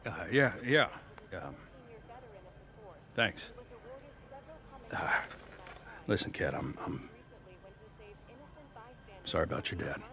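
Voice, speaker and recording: Awkward guy, deep gravelly voice, slow and halting, game cutscene. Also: very animated, pushed and bright, heavily acted. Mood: awkward, sorry. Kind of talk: video game cutscene acting.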